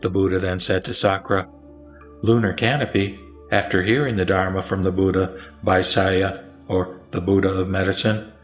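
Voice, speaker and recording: A man reciting scripture as a scripted narration, with measured, solemn phrasing. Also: sits low, level tone. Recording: roomy echo, music underneath. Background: background music.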